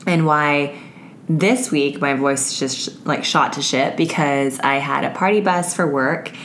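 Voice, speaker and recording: A woman with an unusually deep, raspy voice that is hoarse and wearing thin. She hosts her own podcast in a loose, frank chat, peppered with fillers and swearing. A faint low rumble sits under the recording. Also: unhurried, a bit bright. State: hoarse, voice giving out. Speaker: podcast host. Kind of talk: casual solo podcast chat.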